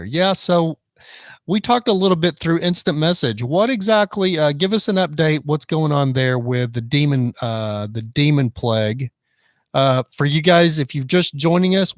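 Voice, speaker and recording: A man's voice: medium pitch, no echo, studio quality. A man in his fifties hosting a casual live stream, chatting and reading out viewer comments. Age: 50s. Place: van in the rain.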